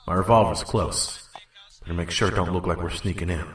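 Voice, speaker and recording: A man voicing a wary, gruff stallion trader who narrates a wasteland audio drama tersely. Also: sits low, brisk, echoey room, far from the mic, natural voice. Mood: brooding. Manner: terse, guarded. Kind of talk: first-person story narration and dialogue.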